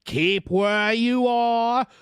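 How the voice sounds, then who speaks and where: A reader voicing a gruff, suspicious armed coach guard, a man with rustic speech, in a storybook reading. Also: medium pitch, huge pitch swings, moderate pace, no echo, studio quality. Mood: suspicious, commanding. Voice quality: gruff, growling. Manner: barking challenges, rustic dialect.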